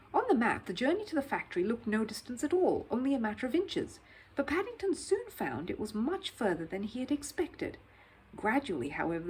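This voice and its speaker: A woman reading a children's story aloud in expressive scripted narration. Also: fairly high pitch, slight background noise, poor audio.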